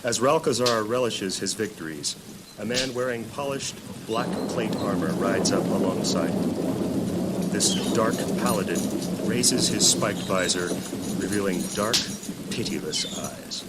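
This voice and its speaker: Deep-voiced solemn male narrator. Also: a little slow, heavy background noise. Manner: solemn, portentous. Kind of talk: dramatic scripted fantasy narration. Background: loud music and falling rain.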